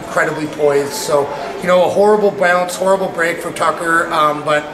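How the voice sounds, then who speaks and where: A man in his thirties, a hockey head coach, answering post-game media questions in halting, repetitive phrasing. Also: fairly high pitch, fairly even intonation, roomy sound, background noise. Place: indoors in a small media room before a sponsor backdrop.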